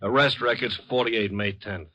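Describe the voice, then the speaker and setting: A man's voice: fairly low pitch, steady tone, moderate pace, close to an everyday voice. A man playing an obliging, seasoned American police sergeant in a 1950s radio drama, casual and matter-of-fact. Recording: dull noisy vintage radio recording.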